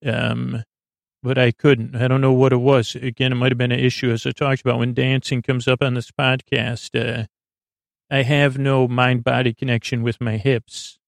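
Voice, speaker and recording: A man hosting a bedtime podcast in a meandering, halting monologue that trails off into tangents. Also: medium pitch, little pitch movement, creaky, no echo. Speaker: podcast host.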